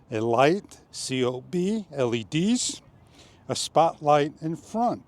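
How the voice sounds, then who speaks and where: A man narrating a step-by-step product demonstration in a deliberate, phrase-by-phrase instructional way. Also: on the high side, a little mellow. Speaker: product reviewer.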